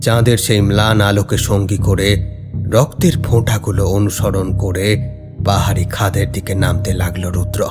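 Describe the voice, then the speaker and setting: Deep-voiced man narrating horror, music behind. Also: level tone. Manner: suspenseful, deliberate phrasing. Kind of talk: dramatic scripted narration.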